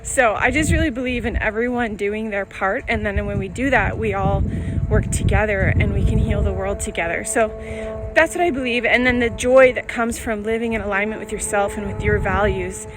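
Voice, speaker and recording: A woman's voice: mid-range voice, moderate pace, loud music behind the voice. A woman vlogger talking to camera while walking, rambling earnestly and saying "like" a lot. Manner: rambling, earnest, heavy use of "like". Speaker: lifestyle vlogger. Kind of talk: casual walk-and-talk monologue. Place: walking outdoors near traffic.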